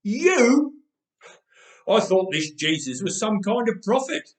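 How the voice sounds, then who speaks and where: A man's voice: high voice, big pitch swings, slow pace, pitched above the performer's own voice, exaggerated voice. A man voicing a menacing knife-wielding debt collector in a story, growling and mocking. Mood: sneering. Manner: mocking, taunting. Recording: roomy, echoing space.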